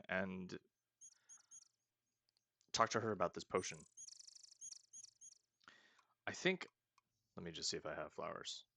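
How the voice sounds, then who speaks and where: A man hosting a casual let's play, reading game text aloud and thinking out loud as he goes. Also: on the low side, gravelly, no room sound. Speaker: gaming host.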